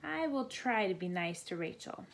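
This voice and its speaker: A woman narrating a children's bedtime story in deliberate, short, simple phrases. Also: medium pitch, big pitch swings, gravelly. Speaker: storybook reader.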